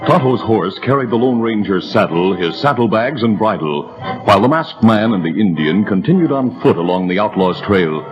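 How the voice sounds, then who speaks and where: A man's voice: lowish voice, lively intonation, normal speed, dull audio, over loud music. A man narrating a 1930s radio adventure drama with a vivid, building delivery.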